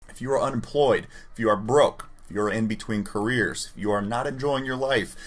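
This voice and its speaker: Lower-voiced man reading a sales pitch. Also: a little fast. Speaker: advert narrator. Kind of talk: scripted, repetitive sales pitch.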